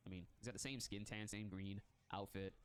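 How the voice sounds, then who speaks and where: A man hosting a casual reaction stream, congested from a cold, excitable and slangy, laughing often. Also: fairly low pitch, brisk, clean tone. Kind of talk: casual reaction chat, reading text aloud.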